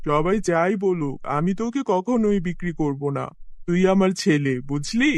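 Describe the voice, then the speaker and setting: A man playing a poor, soft-hearted village farmer in an animated fairy tale, speaking in an earnest, affectionate way. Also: sits high, moderate pace, no echo, clean studio sound. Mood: tender, devoted.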